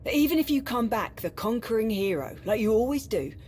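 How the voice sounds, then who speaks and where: A woman's voice: on the high side, sing-song, brisk, a little mellow, slight background noise. Earnest woman army major. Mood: worried, pleading. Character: frank, worried military major, adult woman. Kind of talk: video game voice acting.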